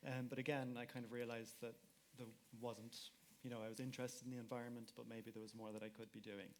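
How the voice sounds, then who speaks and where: A man's voice: mid-range voice, level tone, fast talker, a little hiss or hum. A man speaking as a panelist at a live event, talking casually and hedging with "kind of". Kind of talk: panel discussion. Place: event hall with audience.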